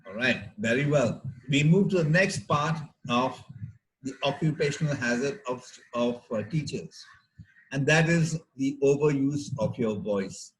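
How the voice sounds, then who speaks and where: Indian guy, middle aged doctor doing a health lecture, talks real slow w lots of pauses, medium pitch. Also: expressive, poor audio. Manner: deliberate, measured, explanatory, with pauses between phrases. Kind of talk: health talk to teachers.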